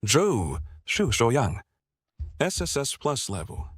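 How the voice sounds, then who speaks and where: A man voicing an exam official who makes deliberate, formal announcements in an animated dub. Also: mid-range voice, slightly rough, heavily acted. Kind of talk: animated web drama dub.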